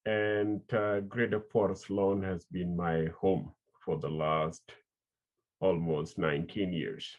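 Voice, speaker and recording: A man from South Sudan introducing himself deliberately in a documentary interview. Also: low-pitched, fairly even intonation. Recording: dull lo-fi sound.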